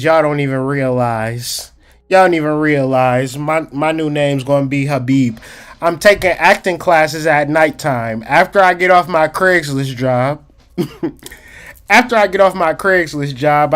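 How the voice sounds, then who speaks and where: A man hosting a casual livestream about psychology, streetwise and slangy, talking straight to his chat and slowing down to stress key points. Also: on the high side. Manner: emphatic, slows down to stress points. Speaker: livestream host.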